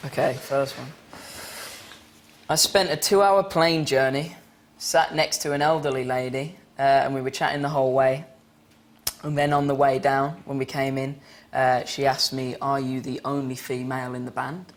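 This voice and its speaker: Young male guest, chatty, animated anecdote, faint studio hiss, lapel mic. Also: medium pitch, normal speed. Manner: laughing, animated storytelling. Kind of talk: casual storytelling on a TV chat show. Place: bright TV studio set.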